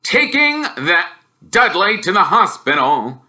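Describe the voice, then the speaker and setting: A man voicing a gruff, bad-tempered middle-aged uncle in a storybook, with a growling, scornful, forceful delivery. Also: high voice, very animated, takes it slowly, pitched above the performer's own voice, heavily acted. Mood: irritated, scornful. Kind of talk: storybook character voice.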